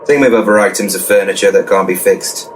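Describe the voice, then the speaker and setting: A low male voice sits back from the microphone amid clear background noise. It is an actor as a crude, self-important ghost-hunting handyman who explains everything pompously and matter-of-factly, as in a comedy radio play. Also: fairly quick, far from the mic, natural voice. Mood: blunt, smug. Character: cocky, crude, know-it-all male ghost-busting handyman. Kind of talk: full-cast comedy audio drama.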